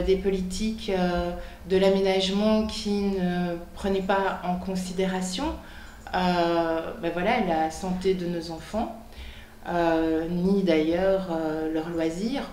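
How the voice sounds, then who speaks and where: A woman candidate speaking earnestly, pausing on fillers. Her voice is fairly low and echoes in a roomy studio. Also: darkish tone, slight background noise. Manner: earnest, committed, with frequent fillers. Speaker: political candidate. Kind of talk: spontaneous speech. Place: television studio in Brussels.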